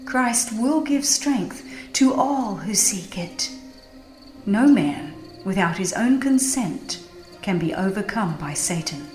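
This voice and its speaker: A woman narrates a religious audiobook with a solemn, measured delivery. Her voice sits at a medium pitch in a noticeably roomy, echoing sound. Music plays softly behind her. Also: animated.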